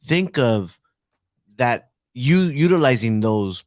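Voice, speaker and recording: A man's voice: mid-range voice, animated, slow, darkish tone, no echo. Encouraging male podcast host, dry sound. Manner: encouraging, frequent short backchannels. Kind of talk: casual interview.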